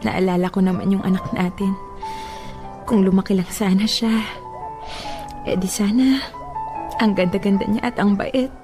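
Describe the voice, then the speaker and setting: A woman playing a tender, fragile young wife grieving her baby in a Filipino radio drama, speaking softly and plaintively. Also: medium pitch, steady tone, moderate pace, pauses often, close to an everyday voice. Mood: sorrowful. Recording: music behind the voice.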